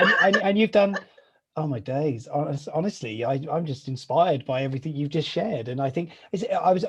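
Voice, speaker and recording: A male podcast host talks quickly and effusively, tumbling through his questions with eager restarts. His voice has a husky, slightly breathy edge. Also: on the high side. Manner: enthusiastic, effusive, rambling questions. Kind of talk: podcast interview.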